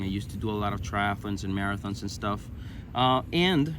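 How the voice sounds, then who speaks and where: A man in his thirties from Venezuela with a Venezuelan Spanish accent, telling his own story conversationally, haltingly searching for words. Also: on the low side, background noise. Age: 30s. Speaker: interview guest.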